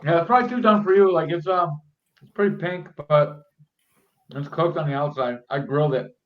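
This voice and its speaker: A man in his forties hosting a podcast, bantering loudly and laughing, calling people "dog". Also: a little high, fairly quick. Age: 40s. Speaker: podcast host. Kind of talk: casual chat. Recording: dull lo-fi sound with faint noise.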